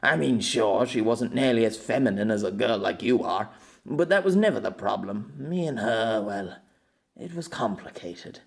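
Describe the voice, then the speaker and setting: A man's voice: lowish voice, expressive, moderate pace, gravelly. A man voicing an earnest, reserved man who speaks hesitantly and reflectively in an audiobook. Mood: wistful. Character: earnest, wistful, reserved man confiding his feelings. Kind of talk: amateur audiobook character dialogue.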